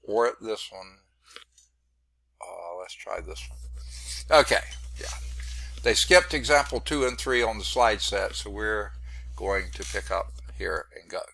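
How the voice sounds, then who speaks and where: A male math instructor with a hoarse, congested voice that turns gravelly and creaky. His tone is bright and forward, and he rambles on about his screen setup with self-deprecating asides. He comes through a computer mic on a video call. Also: on the low side, measured pace, long pauses, no echo. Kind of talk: online class lecture.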